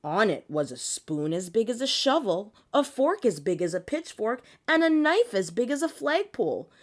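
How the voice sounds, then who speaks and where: A woman reading a children's picture book aloud in an animated, theatrical, expressive way. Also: fairly high pitch, moderate pace. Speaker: children's story reader.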